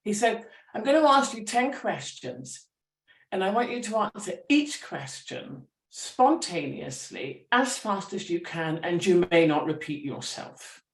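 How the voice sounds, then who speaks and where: A woman, a writer and teacher, talking through her ideas with animated thoughtfulness and many restarts at a public talk. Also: on the low side, measured pace, gravelly. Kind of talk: conversational storytelling. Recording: roomy echo.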